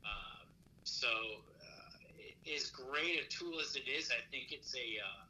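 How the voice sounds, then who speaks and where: A man's voice: medium pitch, lively intonation, takes it slowly, bright, forceful tone, slight background noise, low-quality recording. Hesitant man in his late thirties. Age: 30s. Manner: hesitant, many fillers, thinks aloud. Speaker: guest. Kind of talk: casual chat.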